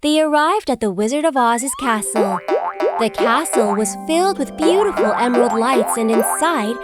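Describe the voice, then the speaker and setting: A woman narrating a scripted children's fairy tale in an expressive storybook manner. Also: a little high, normal speed, over music. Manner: expressive, clearly paced storybook delivery.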